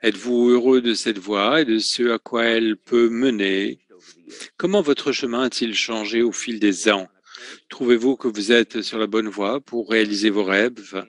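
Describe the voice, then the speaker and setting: A man giving a French voice-over of a conference talk, speaking in a measured way with frequent hesitations and self-corrections. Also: on the low side, steady tone.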